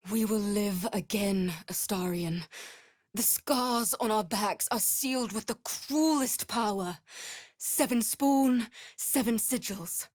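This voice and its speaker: A woman's voice: medium pitch, darkish tone, dry recording, clean studio sound. A woman playing a grave, weary vampire servant in a fantasy game, solemn and dramatic in delivery. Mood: grave, resolute. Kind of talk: scripted fantasy game acting.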